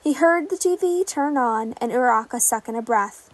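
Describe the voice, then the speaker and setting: A woman's voice: fairly high pitch, expressive, normal speed, dry recording, rough recording. A woman reading scripted fan fiction narration as a podfic. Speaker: podfic reader.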